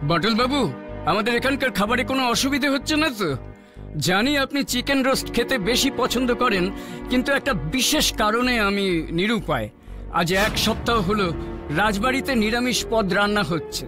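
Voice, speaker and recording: A man's voice: very high-pitched, brisk. A man voicing an anxious, courteous king and father in a children's cartoon, speaking formally over music. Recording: music playing behind the voice.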